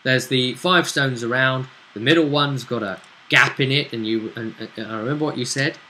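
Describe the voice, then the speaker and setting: A man with a bright, forceful voice and a medium pitch talks casually as a game master. He rambles through explanations of a tabletop session, with faint noise behind him. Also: normal speed. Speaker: game master, host of a role-play vidcast. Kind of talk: casual recap of a tabletop role-play session.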